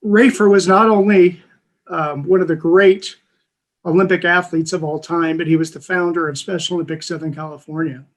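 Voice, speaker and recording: A man giving an earnest, halting acceptance speech over a video call, pausing often as he thanks people. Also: a little high, fairly bright tone. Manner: halting, earnest, frequent pauses. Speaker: award recipient. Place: video call from an indoor room. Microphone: video call microphone.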